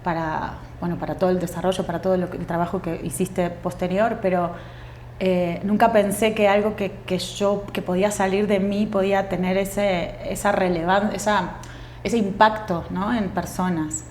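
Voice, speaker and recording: A woman in her forties with a Uruguayan Spanish accent, a guest actress speaking thoughtfully in an interview, pausing often with fillers and restarts. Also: medium pitch, steady tone, darkish tone, roomy sound, a little hiss or hum. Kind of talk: reflective interview conversation.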